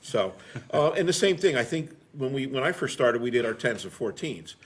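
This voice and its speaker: A man's voice: mid-range voice, animated, moderate pace. A middle-aged man, a firefighter, chatting casually and telling stories in a blunt, salty way. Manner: blunt, salty everyday talk. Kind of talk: casual conversational storytelling.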